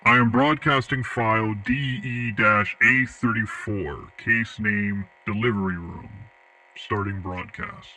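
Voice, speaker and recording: A man's voice: on the low side, takes it slowly, sharp tone, full character voice. A man playing an impersonal broadcast system, formally announcing case files in a horror audio drama. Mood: impersonal, official. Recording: distant radio-like broadcast sound.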